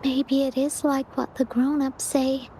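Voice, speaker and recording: A woman voicing a gentle young girl in a game who misses her mother, speaking softly and earnestly. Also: high-pitched, little pitch movement, a little slow, slight background noise. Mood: wistful. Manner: soft, earnest and wistful. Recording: game soundtrack music behind.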